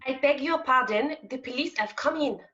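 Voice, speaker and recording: A woman's voice: high voice, moderate pace, bright, forceful tone. A woman playing a polite but insistent hotel servant in a staged reading of a Victorian farce. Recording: dull remote call audio.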